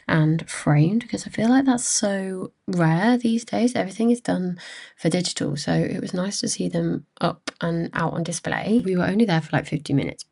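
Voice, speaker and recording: Creaky-voiced young British woman narrating vlog. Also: lowish voice, darkish tone. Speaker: vlogger. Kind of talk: casual voice-over narration.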